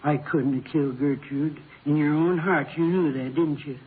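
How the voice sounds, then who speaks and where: An actor playing a gentle, grieving accused husband in an American radio drama, halting and full of humble questions. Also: male voice, a little high, steady tone, slightly rough, narrow, tinny audio. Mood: subdued, earnest. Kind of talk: 1950s radio drama acting. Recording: old band-limited radio broadcast, hissy.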